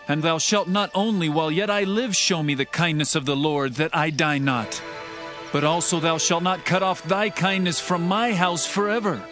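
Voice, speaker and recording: A man's voice: fairly high pitch, lightly acted. A man playing a loyal young prince in a dramatized Bible reading, speaking solemnly and earnestly in declamatory archaic English. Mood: solemn vowing. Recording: background music under the voice.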